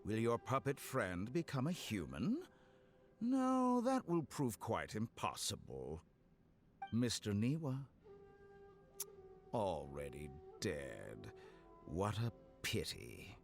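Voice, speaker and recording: Game villain: a low, unhurried, mockingly courteous mad scientist, sweeping intonation, music behind. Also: male voice, wildly swooping pitch, vocal fry, exaggerated voice. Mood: mock pity. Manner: slow, deliberate, mockingly courteous. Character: suave, sadistic villain scientist, calm and mockingly polite.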